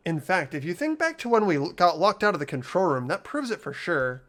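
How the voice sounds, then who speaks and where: Man playing an earnest, high-voiced teenage boy. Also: big pitch swings, fairly quick, fairly bright tone. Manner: earnest, questioning. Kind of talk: voiced video game playthrough, dialogue and inner narration.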